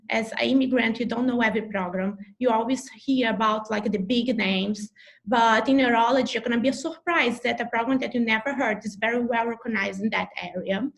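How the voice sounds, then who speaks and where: Brazilian woman with a gravelly edge. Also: fairly high pitch. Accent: Brazilian Portuguese. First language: Portuguese. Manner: hesitant, many fillers and restarts. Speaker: neurologist faculty member. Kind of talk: advice talk in an online info session. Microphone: video call.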